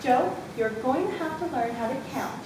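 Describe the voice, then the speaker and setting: A woman's voice: lively intonation, normal speed. A woman playing a strict, exasperated schoolteacher in an amateur readers' theatre piece, firm and corrective. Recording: distant, very echoey room, noisy with rumble.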